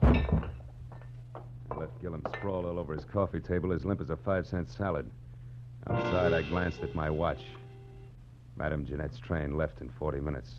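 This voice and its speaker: An actor playing a hard-boiled, weary private detective, narrating and talking in terse, wry wisecracks in 1940s noir radio style. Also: male voice, a bass voice, fairly even intonation, moderate pace, long pauses. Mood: tense, wry. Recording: muffled old radio recording, music behind.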